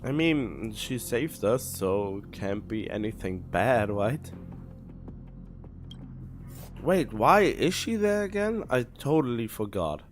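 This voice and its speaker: A man streaming a game, commenting casually and haltingly as he thinks out loud. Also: medium pitch, lively intonation. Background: game music.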